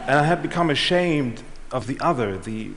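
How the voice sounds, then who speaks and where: A middle-aged man giving a prepared talk on stage, telling a measured story and letting his lines trail off. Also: mid-range voice, animated, a crowd in the background, background noise. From Switzerland and Ghana. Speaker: speaker. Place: large auditorium. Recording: reverberant hall.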